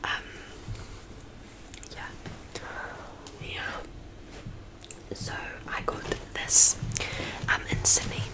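A woman's voice: extremely slow, pauses often, low-quality recording. A young woman with a New Zealand accent, whispering a rambling ASMR chat with lots of ums, close to a studio mic in her small bedroom. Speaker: ASMR creator. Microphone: studio mic on stand, close up.

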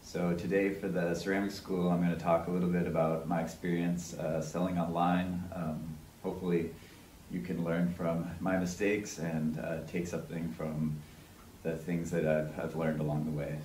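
A man's voice: low-pitched, little pitch movement, normal speed, a little mellow, slight background noise. A man in his thirties from Seattle, a ceramic artist, introducing a talk on selling online. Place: room with art on the wall. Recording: distant roomy sound.

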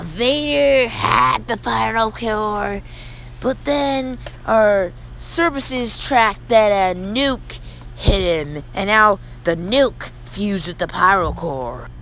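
A man playing a halting, deferential zombie henchman in an amateur Minecraft roleplay movie. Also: high voice, vocal fry, bright, forceful tone, slight background noise, exaggerated voice. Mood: nervous. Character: hesitant zombie henchman reporting to his master.

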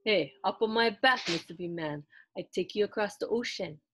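A woman's voice: medium pitch, normal speed, a bit bright, a little hiss or hum, lightly acted. Storybook surfer guy read by a woman: friendly, sly, casual, coaxing delivery.

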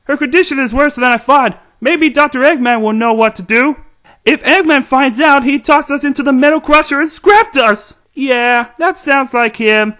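A man voicing a dim-witted, excitable cartoon robot sidekick, with a goofy, eager, exclamatory delivery. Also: very high-pitched, heavily acted. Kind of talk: cartoon character voice demo.

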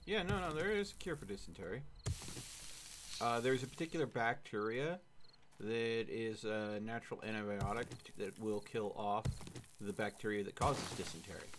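A man in his thirties with a fairly low voice hosts a game stream from a room at home. He explains things casually in a measured, dry manner. Clear background noise sits behind his microphone. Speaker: livestream gamer and host. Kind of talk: casual gaming chat with explanations.

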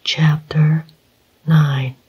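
A hushed storyteller reads a classic novel in an unvoiced whisper. The pace is slow and the delivery flat. The sound is slightly muffled. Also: mid-range voice, slightly rough. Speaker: audiobook reader. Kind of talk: whispered ASMR story reading.

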